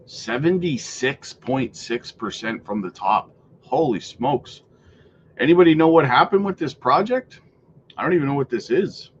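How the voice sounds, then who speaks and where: A man's voice: medium pitch, animated, measured pace, dull audio, a little hiss or hum. A man hosting a casual crypto livestream, rambling through viewer comments with many fillers while losing his voice.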